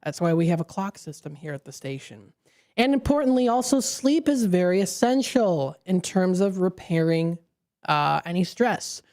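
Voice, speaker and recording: A woman's voice: fairly low pitch, animated, moderate pace, warm tone. A woman radio host explaining brain science in a studio, talking at length with frequent fillers and self-corrections.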